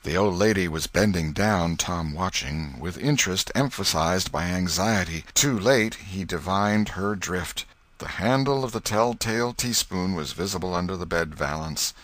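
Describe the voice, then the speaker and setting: A man reading an audiobook as expressive storybook narration. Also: low voice, normal speed, no room sound. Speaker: audiobook reader.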